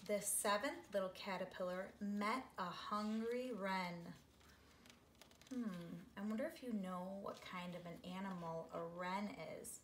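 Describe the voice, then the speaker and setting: A middle-aged woman reading a picture book aloud to children, with expressive, drawn-out phrasing and questions for her young listeners. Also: mid-range voice. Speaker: read-aloud storyteller for children. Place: small living room, seated on a couch. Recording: roomy home recording with background noise.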